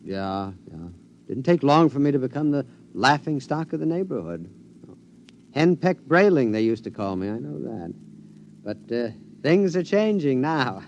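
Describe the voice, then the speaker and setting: Henpecked, scheming husband, swooping delivery. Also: male voice, mid-range voice, a little slow, vocal fry. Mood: rueful. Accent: American. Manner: animated, conspiratorial, stammering repetitions. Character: henpecked middle-aged husband, furtive, gleefully scheming. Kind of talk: 1950s radio drama acting. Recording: dull old radio recording, faint noise.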